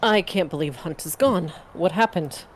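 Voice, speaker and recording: Woman, lowish voice, chatty, laughs a lot, voice call mic. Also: normal speed. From Pacific Northwest, USA. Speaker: voice actor in a group game playthrough. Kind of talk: casual chat over a voice call. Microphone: home mic on a voice call.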